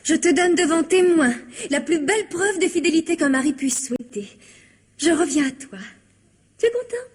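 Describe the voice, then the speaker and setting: An actress playing a playful, bossy wife in a radio comedy, effusive and teasing. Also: female voice, very high voice, expressive, normal speed, darkish tone. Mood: gushing, teasing. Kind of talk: French radio comedy-mystery acting.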